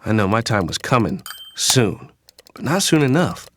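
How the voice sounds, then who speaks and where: A man's voice: medium pitch, very animated, normal speed, a bit bright. A young man playing a disgruntled son in an audio drama, narrating in the first person in a casual, slangy, conversational way. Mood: weary, reflective. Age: young adult. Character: disgruntled young son from a troubled wealthy family. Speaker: actor and narrator.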